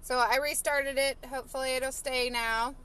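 A woman's voice: high-pitched, fairly even intonation, moderate pace, a bit bright, smooth. A woman in her thirties chatting casually on a live stream from a parked car, reading viewer comments with lots of fillers, recorded on a phone at arm's length. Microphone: phone held at arm's length.

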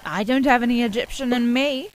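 A woman's voice: fairly high pitch, a little fast, lightly acted. A woman voicing a greedy, bossy female pirate captain who talks in a dismissive, commanding way. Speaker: puppet show character. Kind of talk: amateur puppet show voice acting.

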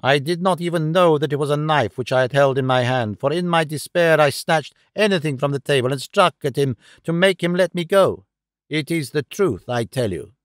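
A man's voice: a little high, normal speed, no room sound, close to an everyday voice. A proud foreign woman of grave dignity and disdain , as played by a male reader. She speaks earnestly and dramatically, as in a storybook confession, in a dry recording.

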